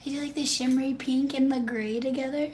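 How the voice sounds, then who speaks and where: A woman who is sick speaks with a hoarse, fading voice. Her pitch sits a little high, and she talks in an affectionate, chatty way. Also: steady tone, brisk, darkish tone, slight background noise.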